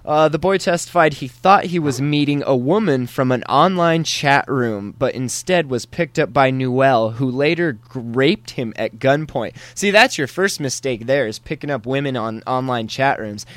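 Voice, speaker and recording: A man's voice: mid-range voice, moderate pace, few pauses, dry recording. A man hosting a podcast, reading news stories and riffing on them with crude, irreverent jokes and frequent laughter. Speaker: podcast host.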